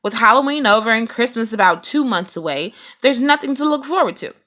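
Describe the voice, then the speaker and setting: A woman's voice: fairly high pitch, expressive, fairly quick, pushed and bright, pitched above the performer's own voice. A young boy bear cub in a storybook, played by a woman, glum and protesting. He complains with emphasis in a raised, swinging voice.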